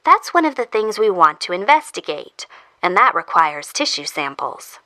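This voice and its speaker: A woman's voice: fairly low pitch, very animated, moderate pace, sharp tone, no echo. A woman playing a persistent, matter-of-fact medical researcher in an audio drama, hesitating over delicate words. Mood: clinical, matter-of-fact. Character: clinical, persistent woman researcher studying zombie infection. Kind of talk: audio fiction acting.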